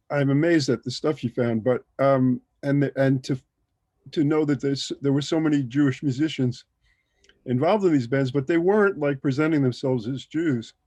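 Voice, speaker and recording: A man speaking as a panelist in a casual discussion, halting as he thinks aloud over a video call. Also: on the high side, a little mellow, dry recording.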